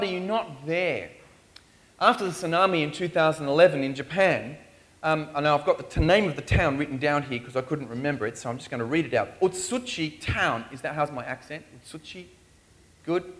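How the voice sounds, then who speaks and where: A man's voice: on the high side, fairly bright tone. A man preaching a sermon in a church in Australia, earnest and pleading as he poses questions.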